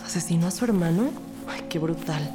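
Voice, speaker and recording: A low-pitched female investigator, played by an actress, tells and lives out a crime case in an audio drama. She is earnest and inquisitive, with music clearly playing behind her. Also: fairly quick, lightly acted. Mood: questioning. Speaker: narrator and detective character. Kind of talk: scripted podcast crime drama with first-person narration.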